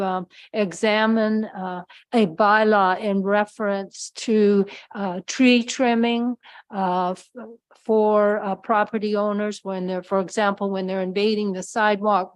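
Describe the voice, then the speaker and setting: Woman councillor at a desk mic, polite and halting with lots of filler pauses, very dry close sound. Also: medium pitch, no echo. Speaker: town councillor. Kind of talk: council meeting questions. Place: council chamber. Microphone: desk microphone.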